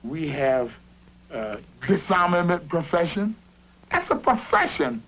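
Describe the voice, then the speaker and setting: An excitable working man in an animated short, with a high voice that swings up and down. He frets and argues in rambling, insistent bursts, stammering and doubling back on his words. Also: a little slow, slight background noise, full character voice, poor audio. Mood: exasperated. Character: excitable, worrying male construction worker arguing with workmate. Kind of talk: improvised conversational dialogue in an animated short.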